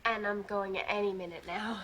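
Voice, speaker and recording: A woman's voice: medium pitch, steady tone, moderate pace, lightly acted. A young heroine played by a woman with a mid-range voice. She is direct, strong and conversational in TV drama style. The soundtrack has noticeable noise. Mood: casual. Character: strong, self-assured athletic young heroine. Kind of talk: television drama acting. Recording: noisy TV soundtrack.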